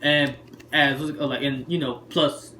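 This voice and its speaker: A man's voice: mid-range voice, fairly even intonation, a little slow, bright, forceful tone. A young man from Haiti co-hosting a podcast, chatting casually over a card game and rambling with lots of fillers. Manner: rambling, many fillers like "what's it called". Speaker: podcast co-host. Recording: roomy room with faint background noise.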